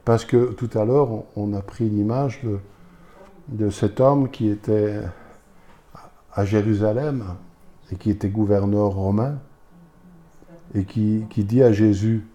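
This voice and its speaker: A man's voice: on the low side, warm tone. A man with a Belgian French accent, a pastor preaching to a congregation and pressing them with rhetorical questions. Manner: fires rhetorical questions at listeners, emphatic.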